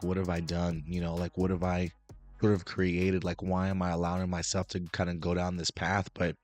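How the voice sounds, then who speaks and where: A hoarse man hosting a casual show review, rambling with many restarts while recovering his voice. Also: deep voice. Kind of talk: casual review talk to camera.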